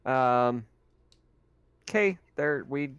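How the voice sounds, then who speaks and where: A man's voice: mid-range voice, animated, very slow, a bit bright, clean tone, dull audio. A man playing a tabletop roleplaying game, switching casually between table talk and speaking as his character.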